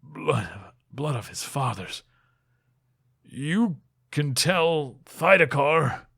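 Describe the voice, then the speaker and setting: Man acting an intense, hushed fantasy king. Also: fairly high pitch, sing-song, very slow, vocal fry, husky, dry recording, clean studio sound, voice pushed up, full character voice. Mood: strained, dying. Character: proud, suspicious king facing betrayal, grown man. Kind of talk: dramatic fantasy audiobook reading.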